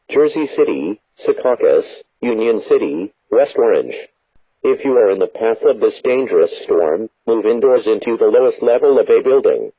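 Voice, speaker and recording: Through a dull, echoey radio alert broadcast comes a man's voice reading emergency weather warnings. He sounds synthetic and rough-edged, delivering each scripted instruction in a flat, formal announcer style. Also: on the low side.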